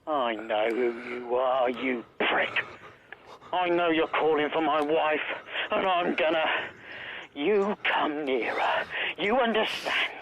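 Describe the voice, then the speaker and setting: A man's voice: on the high side, a bit bright. A man playing a jealous, threatening husband in a radio drama, heard as if over the telephone. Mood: menacing, jealous. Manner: threatening, deliberate. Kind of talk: radio play acting. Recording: muffled phone sound with faint noise.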